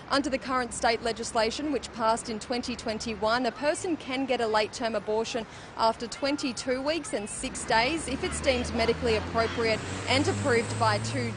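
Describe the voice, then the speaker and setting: A woman delivering a news report as a reporter outdoors. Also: on the high side. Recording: clear outdoor city traffic noise.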